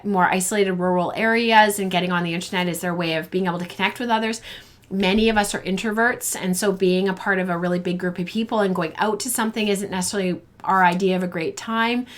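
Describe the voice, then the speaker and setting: A woman hosting a live-streamed fiber arts show, chatting casually with many ums and restarts, her voice going hoarse. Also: mid-range voice. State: losing her voice.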